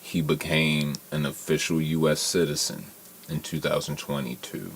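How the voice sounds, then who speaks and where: A man's voice: very deep voice, steady tone, normal speed, creaky, heavy background noise. An American man co-hosting a casual sports podcast in a studio, talking in a teasing, slangy, profane way. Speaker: podcast co-host.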